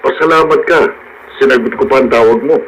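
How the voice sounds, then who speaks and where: A man's voice: medium pitch, a little fast, close to an everyday voice. An actor playing a curt, scheming man in a radio drama, with a Filipino accent and a dismissive, taunting delivery. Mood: scornful, impatient. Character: curt, scheming man stalling his accomplice. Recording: noisy radio drama broadcast.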